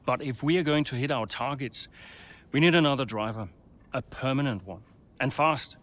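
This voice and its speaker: An actor playing an earnest, persuasive racing team boss in a video game, with a reasoning, coaxing manner. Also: male voice, mid-range voice, normal speed, fairly bright tone, natural voice. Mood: businesslike.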